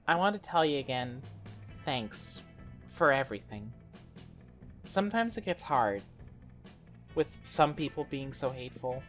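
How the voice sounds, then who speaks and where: A woman playing a cheerful former deity turned monster high-school girl, earnest and expressive, in a game fan dub. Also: unhurried, pauses often, faint music behind, heavily acted. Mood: tender, sincere.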